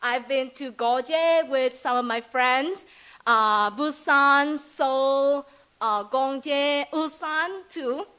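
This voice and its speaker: A woman's voice: sits high, extremely slow, sharp tone. A young woman from Vietnam with a Vietnamese accent, giving a chatty, hesitant student presentation full of fillers and giggles. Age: young adult. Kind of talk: presentation speech.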